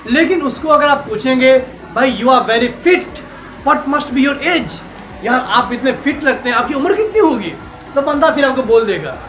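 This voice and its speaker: A man's voice: extremely high pitch, fairly bright tone, echoey room, poor audio. A male English teacher lecturing a class, mixing English phrases into Hindi and checking often that the students follow.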